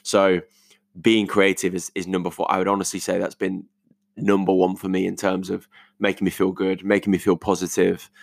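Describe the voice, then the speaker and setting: Low, croaky young British man. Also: monotone, moderate pace, dry recording. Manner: rambling, informal, thinking aloud. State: croaky voice today. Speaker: podcast host. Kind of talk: casual solo voice note, reflective. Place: multi-purpose living room at home.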